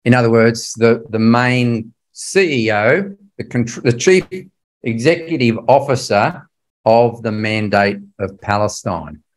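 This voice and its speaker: Male Bible class teacher, older, average pitch; explains history conversationally, leaning on key words and quizzing listeners. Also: expressive, slow pace. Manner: conversational, emphatic, puts rhetorical questions to listeners. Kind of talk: illustrated history lecture to a religious class.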